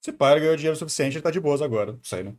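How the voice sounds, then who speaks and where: Slangy Brazilian man, slightly high voice. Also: animated, rapid-fire, faint music behind. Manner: slangy, rambling, thinking aloud. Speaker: reaction streamer. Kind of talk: casual live commentary.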